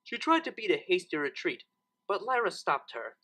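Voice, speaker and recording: Guy reading a story out loud, bright voice, really animated, kinda high. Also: moderate pace. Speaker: narrator reading fan fiction, channel host. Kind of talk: expressive storybook narration.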